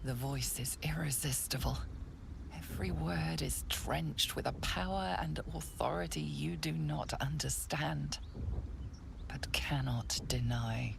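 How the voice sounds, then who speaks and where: A deep-voiced female storyteller with heavy vocal fry. Her game narration is hushed, measured and ominous, over clearly audible ambient noise. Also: full character voice. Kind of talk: dramatic second-person video game narration.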